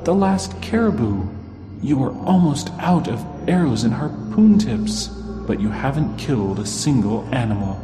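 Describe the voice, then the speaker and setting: A man's voice: medium pitch, lively intonation, moderate pace, close to an everyday voice. A man voicing a stern old shaman in a traditional tale, speaking solemnly and commandingly to his village. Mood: stern, reproachful. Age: older. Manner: solemn, commanding, rhetorical questions. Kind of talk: oral storytelling of a traditional tale. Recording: long hall reverb, clear music underneath.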